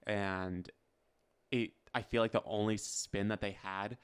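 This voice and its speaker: Male podcast co-host, resonant and smooth, chatty and enthusiastic, with filler-heavy delivery. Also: fairly low pitch, fairly even intonation, a little slow. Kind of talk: casual chat.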